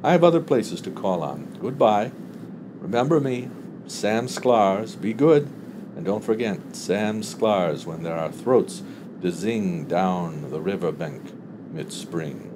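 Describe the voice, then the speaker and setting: A man's voice: low-pitched, expressive, slow, noisy background. A man voicing a cheerful, effusively polite middle-aged Russian immigrant factory owner in a story reading. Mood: merry farewell.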